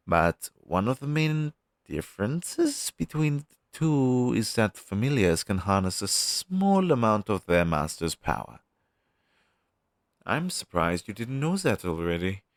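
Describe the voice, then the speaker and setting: A man's voice: lowish voice, very animated, no room sound, clean studio sound. A man playing a down-on-his-luck, friendly crow demon, once a witch's familiar, talking hesitantly to the listener in an audio roleplay. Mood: wistful, explaining. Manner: conversational, hesitant, with sighs and pauses.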